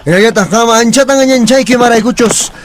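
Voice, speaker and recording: An actor playing an excitable Andean rebel comrade in a radio soap, speaking emphatically and urging his companion on. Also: very high-pitched, brisk, background noise. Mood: earnest.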